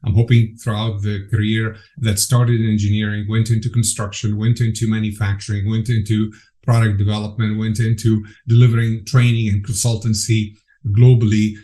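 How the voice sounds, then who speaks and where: Enthusiastic Egyptian-born man, lowish voice. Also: little pitch movement, normal speed, dark tone. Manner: earnest, enthusiastic, frequent restarts. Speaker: guest, program director. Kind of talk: conversational interview.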